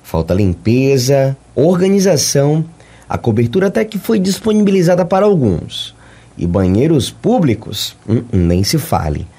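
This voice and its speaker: A man's voice: medium pitch, big pitch swings, moderate pace, warm tone, slightly rough. A Brazilian man reading a scripted voice-over for a TV news report.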